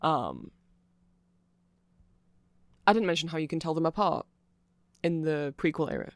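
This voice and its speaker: A man's voice: on the high side, huge pitch swings, unhurried, no echo, exaggerated voice. A man voicing a nerdy vampire who gives a rambling, earnest and fannish ASMR explanation full of fillers. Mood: absorbed, musing.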